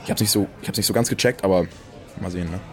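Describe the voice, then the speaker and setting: Low-voiced, halting young man played by an actor. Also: soft background music, a faint crowd, lightly acted. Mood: tentative. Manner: casual, halting, colloquial. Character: guarded young man, casual, awkwardly grateful friend. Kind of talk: naturalistic audio drama dialogue.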